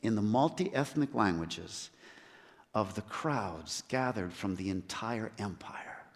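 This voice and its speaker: A man preaching a sermon as a missionary, earnest, with measured and emphatic pauses. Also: on the low side, animated. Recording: long hall reverb.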